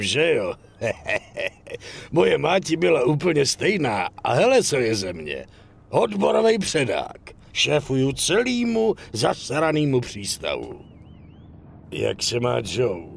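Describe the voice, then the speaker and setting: Swaggering union boss, animated. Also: male voice, mid-range voice, creaky, breathy edge, exaggerated voice. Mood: amused, boastful. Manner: bossy, blunt, swearing, talks down to others. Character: cynical, foul-mouthed middle-aged male dockworkers' union boss. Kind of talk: dubbed crime game cutscene acting.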